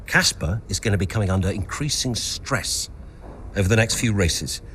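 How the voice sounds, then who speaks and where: A middle-aged man playing a stern racing team owner in a game cutscene, speaking in a measured, deliberate, halting way. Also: on the low side, animated, a bit bright, a little hiss or hum. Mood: commanding. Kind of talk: acted cutscene dialogue.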